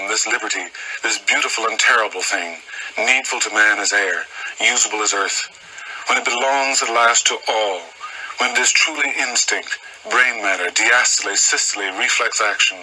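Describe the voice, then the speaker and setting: A man's voice: on the low side, moderate pace, sharp tone, a little hiss or hum, rough recording. A man reciting poetry solemnly, with emphatic short phrases. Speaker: poetry reader.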